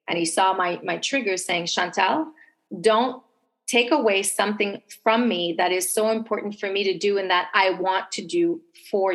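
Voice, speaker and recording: Female podcast guest, medium pitch, conversational advice-giving, explains by acting out inner dialogue. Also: fairly bright tone, low-quality recording. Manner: explanatory, voices her own self-talk.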